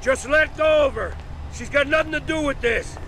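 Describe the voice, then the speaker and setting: An actor playing a desperate, enraged, foul-mouthed criminal in a video game cutscene, agitated and raw, with music behind him. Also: male voice, very high-pitched, normal speed, creaky, pushed and bright, a little hiss or hum, heavily acted. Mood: pleading.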